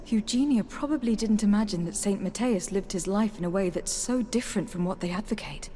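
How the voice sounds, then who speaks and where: A woman's voice: medium pitch, a little fast, dark tone, a little hiss or hum, natural voice. An actress playing a composed, diplomatic noblewoman envoy in a fantasy video game. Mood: pensive. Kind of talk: scripted fantasy game dialogue. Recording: game music behind the voice. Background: game music.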